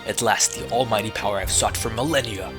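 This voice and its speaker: A man's voice: lowish voice, a little fast, lightly acted. A man reading out the lines of an arrogant, grandiose demon king villain from a video game, taunting his foe. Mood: gloating. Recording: game music behind the voice.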